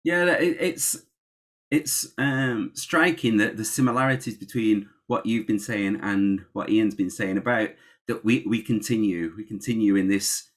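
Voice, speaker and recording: A woman interviewing a runner, asking halting questions with many restarts and encouraging murmurs. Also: rumbling low. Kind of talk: casual interview.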